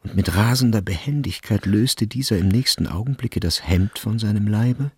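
A man narrating a literary radio drama in a measured, grave and expressive way. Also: fairly low pitch, husky, no echo, studio quality.

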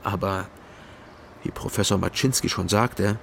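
An actor playing a wry, guarded male private detective who tells his own story in a crime radio drama, measured and dry. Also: sits low, gravelly, a bit bright, a little hiss or hum, heavily acted. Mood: measured narrating. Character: Frank Doberschütz, East Berlin private detective, wry, guarded man. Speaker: narrator and lead detective. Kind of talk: first-person crime radio drama narration.

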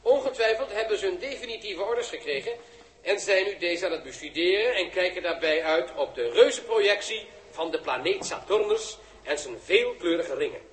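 An actor playing a brisk, showy forum host with a bustling announcer manner in a 1960s radio play. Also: male voice, sits high, lively intonation. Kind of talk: 1960s radio play acting as live presenter. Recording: echoey, noisy early 1960s radio recording.

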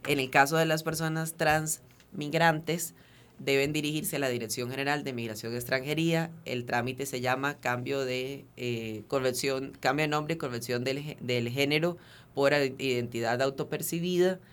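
A man from Venezuela speaks as an activist guest on a talk program, explaining procedures in earnest detail. He often pauses on fillers and restarts his phrases, in a voice of ordinary pitch. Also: fairly even intonation, fairly bright tone, smooth. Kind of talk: radio interview, explanatory.

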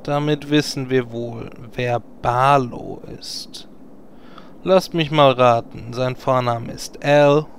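An actor playing a sardonic, grumbling adventurer with a dry delivery in a parody fantasy audio play. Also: male voice, mid-range voice, fairly even intonation, takes it slowly, slight background noise. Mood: dry sarcasm. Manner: dry, sarcastic.

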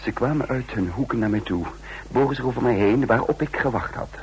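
A man's voice: mid-range voice, a little fast, slight background noise, natural voice. An actor playing a defiant trained fighter who recounts his own escape in a radio drama, with a sardonic edge. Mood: urgent recounting. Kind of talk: radio drama, first-person narration and dialogue.

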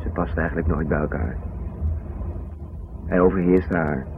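An actor playing a teasing, dismissive journalist husband in a naturalistic seventies radio drama, with a hesitant, evasive way of talking. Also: male voice, extremely low pitch, steady tone, moderate pace. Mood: dismissive, casual. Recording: noisy, phone-like old radio recording.

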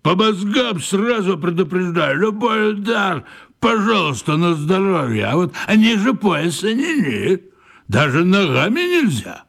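An actor playing a brash, boastful boxing coach who talks in emphatic slang in a radio drama. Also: male voice, sits high, sing-song, unhurried, creaky, a bit bright, full character voice. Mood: boastful, excited. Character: brash boastful male boxing coach, simple-minded and keen.

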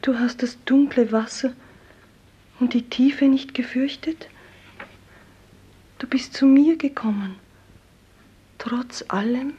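An actress playing a drowned young beloved, tender and humble, in a solemn 1950s German radio play, half-whispering. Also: female voice, high voice, warm tone, husky, exaggerated voice. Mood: awed, tender. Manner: solemn, poetic, slow. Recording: dull 1950s radio recording.

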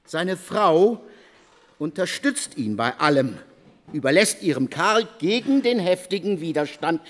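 A man giving dramatic scripted radio narration with emphatic, theatrical phrasing, in a reverberant hall sound. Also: a little high.

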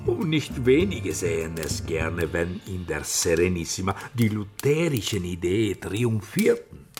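An actor in a radio drama playing a shrewd, persuasive travelling Italian bookseller, with an Italian accent and a theatrical, emphatic delivery. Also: male voice, sits low, sing-song, unhurried. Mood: grandiose. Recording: studio radio drama with loud music behind.

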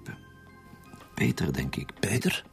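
An actor with a Flemish accent playing an informal, inquisitive police detective in a radio drama. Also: male voice, low-pitched, very animated, measured pace, gravelly, music in the background, heavily acted. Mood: mischievous curiosity.

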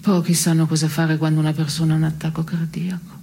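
An actress playing a dry, needling woman in absurdist theatre, clipped and deadpan, often slipping toward a whisper. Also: low voice, fast, vocal fry, a little hiss or hum. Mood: deadpan, dark. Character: dry, needling woman musing darkly on morals. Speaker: stage actress. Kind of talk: scripted absurdist theatre dialogue.

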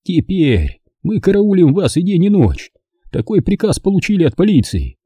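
A man's voice: sits high, huge pitch swings, moderate pace, mellow, husky, no echo, voice pushed up, full character voice. A man voicing a frightened, tearful old German man who whines and begs for his life. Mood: lamenting. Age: older. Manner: tearful, whining, pleading.

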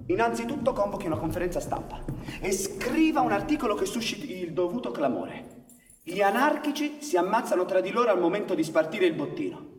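A man's voice: high-pitched, very animated, brisk, noisy background. A male actor playing a stern, argumentative man in a live stage radio drama, with an emphatic, declamatory delivery. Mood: imperious. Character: cold, domineering male police commander; later indignant anarchist newspaperman. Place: theatre stage, picked up from afar. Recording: distant, echoey hall, long reverb.

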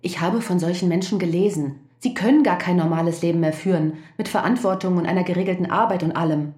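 Actress as mellow-voiced, reproachful wife. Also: female voice, on the low side, quick pace, lightly acted. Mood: worried. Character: worried, reproachful wife of a prisoner. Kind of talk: naturalistic radio drama acting.

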